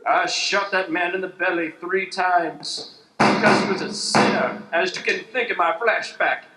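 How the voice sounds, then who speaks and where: A man's voice: high-pitched, unhurried. A man playing a God-fearing gunslinging parson in an improvised Western radio play, declaiming solemnly in folksy frontier phrases on an echoey, noisy stage. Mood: righteous accusing. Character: God-fearing male gunslinging parson of the Old West, righteous. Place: live improv stage with audience. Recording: echoey stage hall, background noise.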